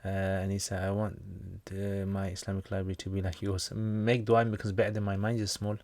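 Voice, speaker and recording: A man with a fairly low voice hosts a livestream, answering viewer comments in a relaxed, joking, meandering way. The sound is dry and close, with no room around it. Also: fairly quick, no echo. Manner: jokey, rambling, with slangy asides. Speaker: Islamic teacher hosting a livestream Q&A.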